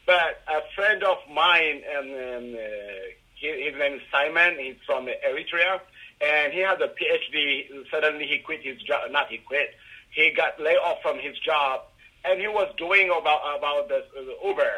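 A middle-aged man from Ethiopia with an Ethiopian accent, answering interview questions haltingly with many fillers and restarts. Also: a little high, sharp tone, poor audio. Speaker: guest, rideshare driver.

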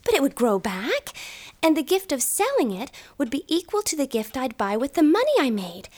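An actress playing an earnest, anxious young newlywed wife in a radio drama, emotional and sighing, with hushed moments. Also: female voice, high-pitched, very animated, normal speed. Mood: determined. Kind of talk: stage-style radio drama acting.

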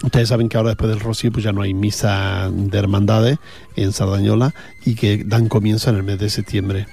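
A man's voice: lowish voice, little pitch movement, a little fast, creaky, dark tone, breathy edge, soft background music. A man with an Andalusian accent, chatting casually as a radio host between songs, rambling with fillers. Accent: Andalusian Spanish. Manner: rambling, chatty, with frequent fillers and repeated words.

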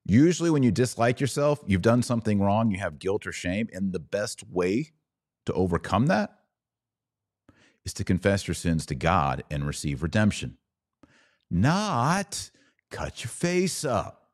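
A low-pitched American man hosts an opinionated commentary podcast. His delivery is emphatic and sarcastic, and he slips into mimicry of opponents. The sound is dry, with no room around the voice. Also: sing-song, unhurried, no room sound.

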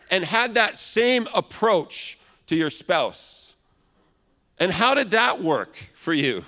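A man in his thirties preaching a sermon in a loud, big voice, now and then acting out complaining customers. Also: high-pitched. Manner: acts out complaining customers in raised voice. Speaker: pastor. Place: large church hall. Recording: long hall reverb.

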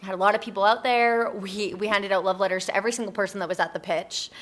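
A woman's voice: medium pitch, steady tone, fast talker, crowd noise. A woman chatting casually as an interview guest, informal and self-deprecating, often saying like. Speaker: interview guest, community project founder. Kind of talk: casual chat.

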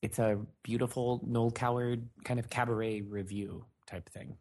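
A man with a husky, slightly breathy voice, co-hosting in an easygoing way. He is a stage performer and laughs easily. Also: mid-range voice, fairly even intonation, normal speed, darkish tone. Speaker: podcast co-host and stage performer. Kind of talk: casual chat.

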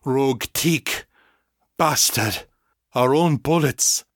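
This is a desperate male telepath in a losing fight, acted out by a man narrator. He speaks in anguished, fragmented outbursts, bright and forceful, in audiobook style. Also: mid-range voice, fairly even intonation, normal speed, gravelly, husky, exaggerated voice. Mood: outraged. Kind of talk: audiobook character acting.

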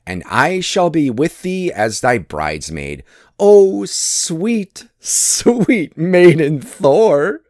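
A man's voice: mid-range voice, wildly swooping pitch, unhurried, few pauses, a bit bright, dry recording, clean studio sound. A man voicing a cunning, mocking trickster god from a myth, teasing and coaxing as he schemes. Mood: sly persuasion. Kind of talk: dramatic myth storytelling.

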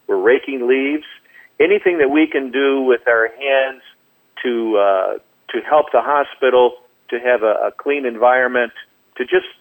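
A man on a phone line explaining ministry programs as an interview guest, in a measured way with hesitant pauses. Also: medium pitch. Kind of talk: explanatory radio interview talk. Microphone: phone call. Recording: tinny, narrow telephone line.

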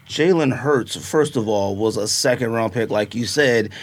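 A man's voice: lowish voice, measured pace, slightly rough. A man co-hosting a podcast, arguing sports in a heated casual debate, repeating himself and acting out quotes. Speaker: podcast co-host.

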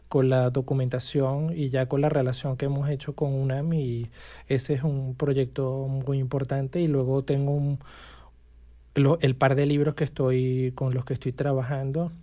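A professor answers interview questions in measured, explanatory sentences. The voice is mellow and dark-toned at a middle pitch. Phrases are often restarted mid-thought. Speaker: university language professor, guest. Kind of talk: casual interview chat.

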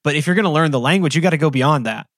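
A man's voice: fairly high pitch, rapid-fire, no room sound, clean studio sound. A man co-hosting a casual podcast conversation, talking in a loose, rambling way with lots of "like" fillers. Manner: relaxed, rambling with frequent "like" fillers. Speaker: podcast co-host.

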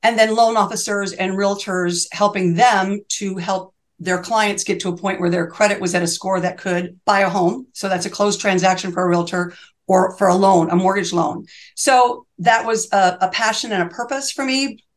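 A woman's voice: medium pitch, steady tone, normal speed. This is an older woman in her sixties speaking as a specialist guest on an interview show. Her voice sits in a middle register. She talks in earnest, heartfelt bursts, piles clause upon clause and often restarts a thought partway through. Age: 60s. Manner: earnest and fervent, long run-on sentences with restarts. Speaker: reverse mortgage specialist, podcast guest. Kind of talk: interview conversation.

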